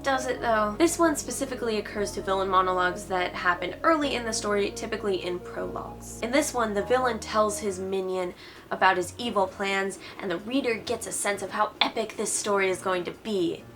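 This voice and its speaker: A woman's voice: medium pitch, a little fast, faint music behind. A woman hosting a writing advice video, giving opinionated commentary in an informal, sarcastic way. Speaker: host of a writing advice channel.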